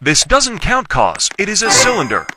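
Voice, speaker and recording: A man's voice: sits high, huge pitch swings, normal speed, background noise. A man giving casual gameplay commentary in short, repetitive declarations. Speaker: gaming video creator.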